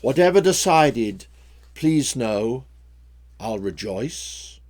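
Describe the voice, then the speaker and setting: A man voicing a tall old king in a story poem, a stern yet generous father speaking in formal, measured rhyme. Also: mid-range voice, expressive, darkish tone. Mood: frank, gentle.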